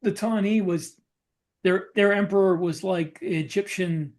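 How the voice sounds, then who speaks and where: A man co-hosting a podcast and talking shop as a novelist, explaining casually in a halting way and reworking his phrases. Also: high voice, a little mellow. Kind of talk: casual discussion of writing military science fiction.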